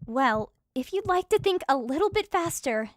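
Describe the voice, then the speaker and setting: Woman acting high-voiced, bold adventurer heroine. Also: very animated, moderate pace, dry recording, studio quality. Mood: wary, pointed. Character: bold, protective, sarcastic female adventurer. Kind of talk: audio drama acting.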